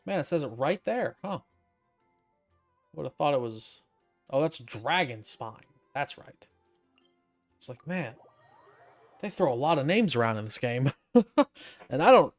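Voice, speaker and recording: A man's voice: fairly high pitch, very animated, unhurried, pauses often, soft background music. A man giving casual gameplay commentary as a streamer, with wry, offhand, muttered asides. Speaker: streamer playing a game.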